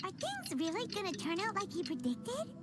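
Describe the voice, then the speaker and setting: A squeaky little fairy sidekick. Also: female voice, huge pitch swings, normal speed, exaggerated voice. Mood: wondering. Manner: chatty, bouncy, refers to herself by name. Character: tiny chirpy female fairy companion. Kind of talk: game voice acting. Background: game music.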